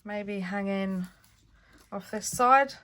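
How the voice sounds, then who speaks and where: Slow-talking woman with a cold. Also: medium pitch, pushed and bright. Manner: chatty, thinking aloud while working. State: stuffy with a cold. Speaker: craft channel host. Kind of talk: casual crafting vlog talk.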